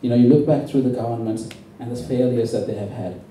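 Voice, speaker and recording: A man's voice: medium pitch, mellow. A man from Sri Lanka giving an impassioned political speech at a public meeting, emphatic and intense. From born in Sri Lanka. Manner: emphatic, intense, deliberate rhetoric. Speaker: campaign speaker at a public meeting. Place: indoor public meeting venue. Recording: very echoey, distant, faintly noisy hall recording.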